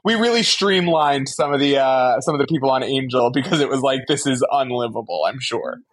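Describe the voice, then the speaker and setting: A man's voice: medium pitch, expressive, a little fast. A man co-hosting a podcast in casual chat, joking wryly and acting out imagined quotes. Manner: wry, jokey, acts out imagined quotes. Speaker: podcast host. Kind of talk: casual chat with comic riffing.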